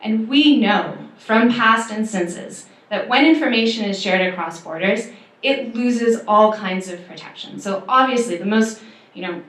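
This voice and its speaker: A woman's voice: a little high, a little slow, a bit bright. A woman lawyer giving earnest, emphatic panel remarks, with some fillers. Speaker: civil liberties lawyer on a panel. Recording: echoey room.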